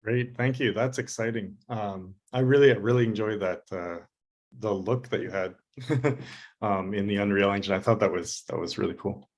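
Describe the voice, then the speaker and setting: A man's voice: fairly low pitch, moderate pace. A man moderating an online panel, thanking a presenter and introducing the next in a brief, upbeat way.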